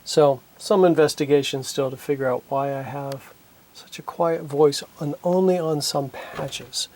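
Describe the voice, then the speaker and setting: A man talking casually through his repair work at a home workbench, thinking aloud and halting with fillers, recorded on a camera microphone. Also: a little high, fairly even intonation, darkish tone. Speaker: hobbyist synth repairer. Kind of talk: casual narration while working. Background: synthesizer being played.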